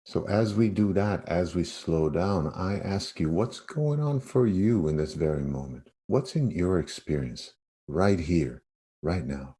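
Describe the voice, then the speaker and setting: Man, very low voice, dark and relaxed tone, meditation teacher, guided yoga nidra, spacious pauses.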